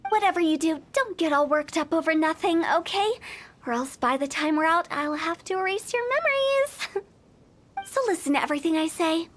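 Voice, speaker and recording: Hu Tao, a high, teasing young mortician. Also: female voice, expressive, normal speed. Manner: teasing, breezy, mock-ominous. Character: playful, mischievous young female funeral director. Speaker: game character. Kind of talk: video game voice acting. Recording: game audio with background music.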